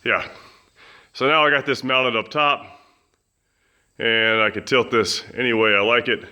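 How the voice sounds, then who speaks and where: A man with a loud voice, casually reviewing a fan in his home gym, rambling with lots of fillers and restarts. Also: medium pitch, a little slow, smooth. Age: adult with children. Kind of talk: casual product review vlog.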